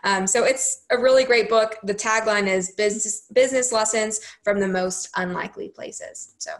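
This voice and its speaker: A woman's voice: mid-range voice, normal speed. A young woman co-hosting a book review over a video call, speaking in an easygoing way with frequent fillers. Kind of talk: casual video-call chat. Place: room at home. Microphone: video call laptop.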